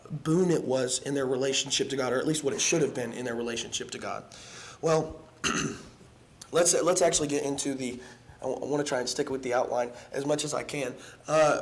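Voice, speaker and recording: A hoarse young man giving an evening sermon in a church, earnest and halting, with many ums and restarts. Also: a little high, a little mellow. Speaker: preacher. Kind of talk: evening sermon with casual asides. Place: church sanctuary.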